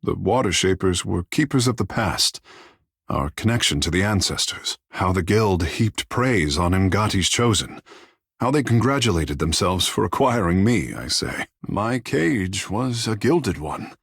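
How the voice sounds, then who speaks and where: Husky, theatrical young shark-folk charmer. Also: male voice, low-pitched, animated, measured pace, a bit bright, no echo, clean studio sound, heavily acted. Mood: wistful, brooding. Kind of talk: video game voice acting.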